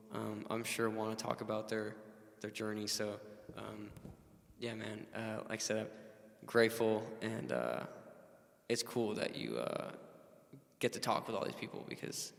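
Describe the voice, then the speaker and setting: A man's voice: fairly low pitch, creaky, fairly bright tone, in a large hall. A man in his late twenties, a singer-songwriter guest, chatting in a laid-back way, full of fillers and "man" and "dude". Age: late 20s. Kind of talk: casual chat.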